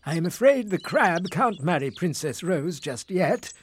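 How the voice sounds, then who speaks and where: A blustery but easygoing storybook king acted out by a man. He muses and exclaims heartily in a raised, lively voice, taking his time over his words. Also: sits high, a little slow, long reverb tail, a little hiss or hum, voice pushed up. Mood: resigned, regal. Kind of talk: children's storybook character voice.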